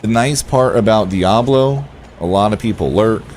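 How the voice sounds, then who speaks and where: A man with a relaxed voice, chatting casually to viewers as he streams a game and thinks aloud. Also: lowish voice, normal speed, a little hiss or hum. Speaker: game livestreamer. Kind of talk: casual livestream chat over gameplay.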